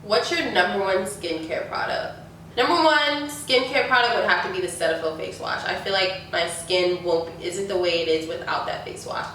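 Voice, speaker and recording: A woman's voice: mid-range voice, normal speed, a bit bright. A young woman in her twenties, stuffy from a sinus infection, chatting casually through a Q&A with lots of likes and ums. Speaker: beauty YouTuber. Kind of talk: casual Q&A chat. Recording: echoey room, mic at a distance, faint noise.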